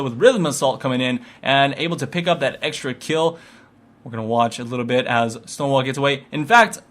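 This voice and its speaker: A male esports commentator with a bright, forceful voice that sits a little high. He calls video game play moment by moment, with an excitable delivery that follows the action.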